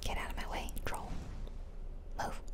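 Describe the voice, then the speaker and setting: Girl whispering asmr gameplay commentary, real slow and chatty, close up on the mic. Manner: casual, chatty asides to viewers. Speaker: gaming video host. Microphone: close-up microphone, ear-to-ear whispering.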